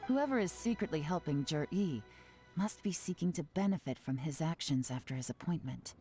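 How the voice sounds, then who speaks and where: A woman playing a shrewd, composed investigator in a video game, speaking in a measured, confident, analytical way. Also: low voice, expressive, slightly rough. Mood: composed, resolute. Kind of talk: English video game voice acting. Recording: soft game music behind.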